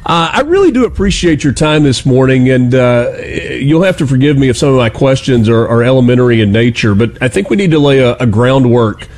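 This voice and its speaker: A man's voice: medium pitch, expressive, brisk, few pauses, darkish tone. Man hosting a conversational interview. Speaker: host interviewing a guest. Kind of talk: conversational broadcast news interview.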